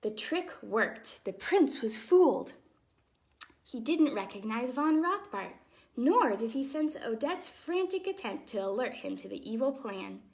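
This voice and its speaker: A woman hosting storytime, reading a fairytale aloud to children expressively, with casual explanatory asides in a softer, chatty manner. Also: fairly high pitch, very animated, measured pace, low-quality recording. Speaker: storytime host and ballet dancer.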